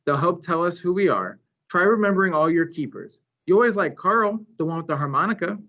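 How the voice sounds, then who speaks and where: A man voicing a gentle, reassuring, motherly female elephant in a storybook. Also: sits high, lively intonation, fairly quick, voice pushed up. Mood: gently wise. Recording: dull, lo-fi recording.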